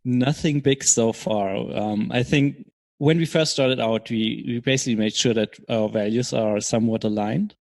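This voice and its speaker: A man answering as an interview guest in casual chat, explaining things in a measured, hesitant way. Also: mid-range voice, vocal fry, darkish tone, dry recording. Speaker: podcast guest, software startup co-founder.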